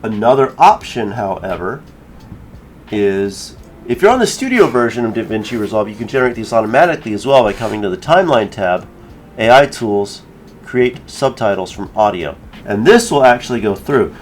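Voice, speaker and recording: A man giving a casual software tutorial, informally thinking aloud as he works through each step. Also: lowish voice, lively intonation, normal speed, a little hiss or hum. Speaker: tutorial creator.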